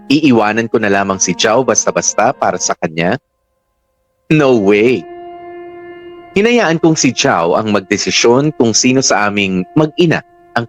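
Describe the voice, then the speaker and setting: A man narrating a love story in the first person, in radio drama style. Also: on the low side. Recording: music bed under voice.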